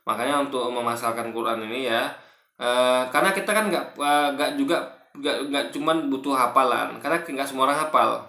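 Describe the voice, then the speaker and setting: Bright-voiced man in an echoey room. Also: medium pitch. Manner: halting, repetitive, self-correcting. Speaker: video commentator. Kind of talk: casual spoken reaction commentary.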